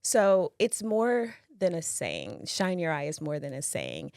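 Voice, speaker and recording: Low-voiced woman co-hosting a chat podcast. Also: huge pitch swings, a little slow, creaky, a little mellow, dry recording, studio quality. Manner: chatty, laughs often. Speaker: podcast co-host.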